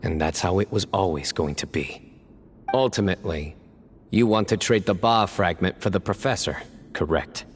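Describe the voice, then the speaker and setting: An actor playing a stern young male judge who speaks in a resolute, measured way, in a game cutscene. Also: low-pitched, a little hiss or hum, close to an everyday voice.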